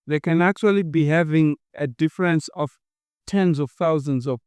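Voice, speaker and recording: A man working as a tour guide, explaining sites slowly with many fillers. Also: on the high side, darkish tone, clean tone, no echo.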